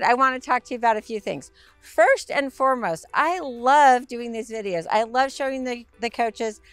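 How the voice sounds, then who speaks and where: A woman with a raspy, hoarse voice giving a bubbly, upbeat sales tour of a motorhome. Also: a little high, fairly bright tone, dry recording. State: hoarse from a week of talking. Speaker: RV sales presenter. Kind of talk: enthusiastic walkaround tour.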